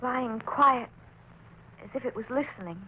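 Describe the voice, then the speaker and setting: A woman's voice: on the high side, measured pace, phone-quality sound, close to an everyday voice. An actress playing a frightened, worried woman in a 1940s radio drama, anxious and melodramatic. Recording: noisy vintage 1940s radio recording.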